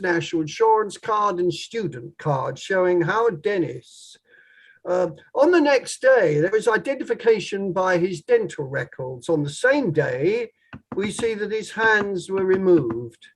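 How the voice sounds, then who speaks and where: A man playing a formal, probing barrister who questions in a measured way, in a table read of a courtroom play. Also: high voice, lively intonation, rough recording. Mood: pressing, formal. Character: probing male inquiry barrister, formal and methodical.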